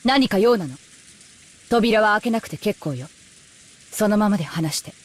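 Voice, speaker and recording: A woman's voice: medium pitch, animated, fast, lightly acted. A woman voicing a stern, suspicious aunt standing guard in a mystery game, curt and commanding. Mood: alarmed, sharp.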